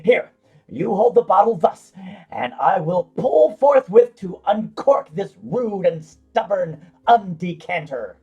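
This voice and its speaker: A man's voice: high voice, lively intonation, slow pace, mellow, husky, lo-fi sound, heavily acted. A man playing an earnest, fussy tavern drunk in a fantasy comedy audio drama, speaking in theatrical, mock-solemn archaic style. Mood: fussy, determined.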